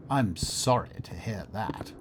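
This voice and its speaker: An actor playing a curious, polite Victorian nobleman in a radio play, melodramatic and genteel, with a husky, breathy voice. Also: lowish voice, expressive, takes it slowly, background noise. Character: curious, polite Victorian nobleman narrating his eerie adventure. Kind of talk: theatrical radio drama narration and dialogue.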